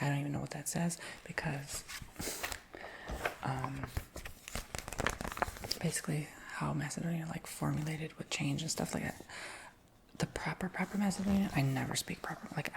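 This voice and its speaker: A woman whispering casually in a show-and-tell video, rambling with lots of fillers and slowly reading out labels. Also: deep voice. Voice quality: soft whisper with creaky edges. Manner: reads names and titles slowly.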